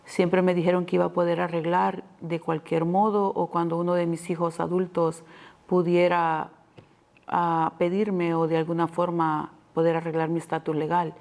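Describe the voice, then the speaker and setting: Salvadoran woman, 50s, interviewee; gravelly, monotone, earnest testimony. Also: fairly low pitch, moderate pace.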